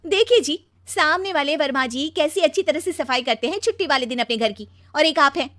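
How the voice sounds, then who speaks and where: A woman voicing a demanding, sharp-tongued young Indian wife in a cartoon story, scolding and exclaiming. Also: sits high, very animated, normal speed, no room sound, pitched above the performer's own voice. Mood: complaining. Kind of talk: animated cartoon story dialogue.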